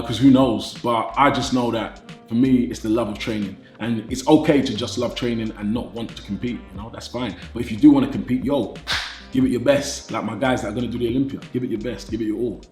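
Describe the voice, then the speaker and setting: A man's voice: mid-range voice, darkish tone, roomy sound. A British man in his thirties with a nasal voice, talking casually and enthusiastically to his viewers as a fitness YouTuber. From Britain. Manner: enthusiastic, repeats phrases, says "man" often.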